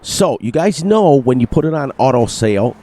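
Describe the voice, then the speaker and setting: Upbeat man, slightly nasal, mellow voice. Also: mid-range voice, moderate pace. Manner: upbeat, sing-song repetitions, excitable. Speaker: gaming channel host. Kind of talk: narrated gameplay commentary.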